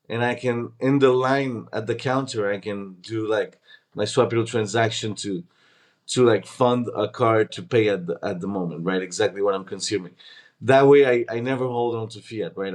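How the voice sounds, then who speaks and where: A man in his twenties, born in Peru and raised in Canada, talking casually as a startup founder being interviewed. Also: lowish voice. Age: 20s.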